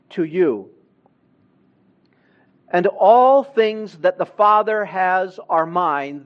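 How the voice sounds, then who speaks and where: A man who grew up in Honduras, preaching a sermon in a church with slow, emphatic delivery that swells louder on key words. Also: sits high, dull audio.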